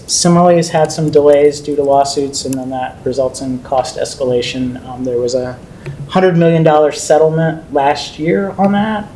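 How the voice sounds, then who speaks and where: Man giving a measured council briefing, mellow tone. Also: medium pitch, slight background noise, low-quality recording. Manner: measured, explanatory, with frequent ums. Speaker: city water staff presenter.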